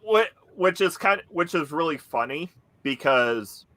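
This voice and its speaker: Slow, bright-voiced chatty man. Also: a little high, animated, no echo. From Pacific Northwest. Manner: halting storyteller, many fillers. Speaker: podcast cast member. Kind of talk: casual chat.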